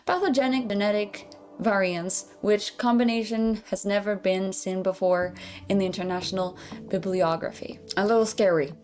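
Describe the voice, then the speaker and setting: A woman's voice: mid-range voice, steady tone, moderate pace, a little mellow, soft background music. A woman giving an informal, chatty explainer to viewers as a vtuber.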